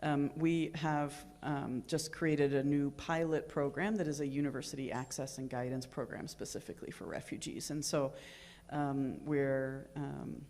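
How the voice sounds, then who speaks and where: A woman's voice: sits low, steady tone, normal speed, darkish tone. A woman moderating a conference panel, hesitant and full of ums and restarts. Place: conference room with live and virtual audience.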